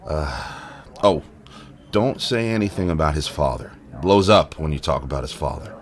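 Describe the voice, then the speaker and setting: An actor playing a blunt, world-weary police officer in an audio drama, with a dry way of talking. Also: male voice, a bass voice, lively intonation, normal speed. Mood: dry, grim. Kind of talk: naturalistic audio drama acting.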